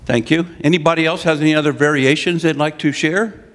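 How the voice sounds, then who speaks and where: A man's voice: fairly high pitch, steady tone, fairly quick, fairly bright tone. A middle-aged man speaking at a podium in a hotel conference room, giving an interactive, conversational talk as a consultant. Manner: conversational, restarts, laughs at own jokes. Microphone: podium mic. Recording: long hall reverb.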